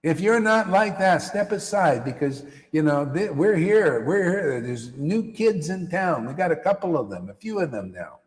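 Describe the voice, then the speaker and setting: A man giving a lively spiritual talk to a live audience, slangy and repetitive, often calling listeners "man". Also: on the high side, normal speed, few pauses, big-room sound. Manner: animated, slangy, repeats phrases, calls listeners "man". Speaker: spiritual teacher. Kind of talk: casual live-stream talk.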